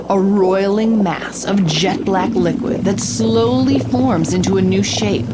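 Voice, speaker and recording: An actress playing an investigative reporter, narrating a scripted audio drama with measured, descriptive storytelling. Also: female voice, medium pitch, very noisy, close to an everyday voice. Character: female reporter narrating her investigative holocast.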